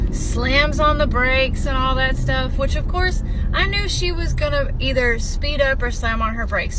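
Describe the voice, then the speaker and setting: A woman telling a story casually to followers, exasperated and emphatic in a conversational way. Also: high-pitched. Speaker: host of a storytelling series. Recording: very loud traffic and vehicle noise.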